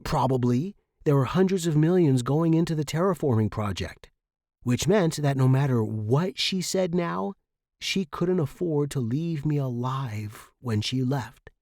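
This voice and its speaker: Guy reading a first person story audiobook, mid voice, lots of pitch movement, really dry recording. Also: animated, a little slow, a little mellow, no room sound. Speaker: audiobook narrator. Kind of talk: scripted first-person fiction narration.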